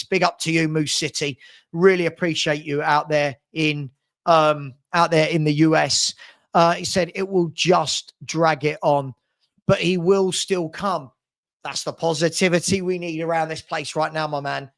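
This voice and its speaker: A gravelly, fairly high-pitched man's voice, middle-aged and chatty. He hosts a live fan stream, talking casually and matily to his viewers, often doubling back on his words as he answers comments. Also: fairly bright tone. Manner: chatty, matey, hesitant with repeated words. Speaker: host of a football fan livestream.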